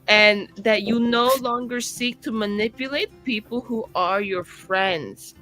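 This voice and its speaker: A woman's voice: on the high side, unhurried, bright, forceful tone, soft background music. A woman chatting casually as a tabletop roleplaying player, rambling and playful as she acts out little exchanges. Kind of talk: casual in-character and table chat.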